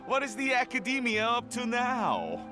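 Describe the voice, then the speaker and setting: A man's voice: extremely high pitch, wildly swooping pitch, moderate pace, heavily acted. An actor playing an anxious, pleading male merchant in a fantasy game, flustered and insistent. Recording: music behind the voice.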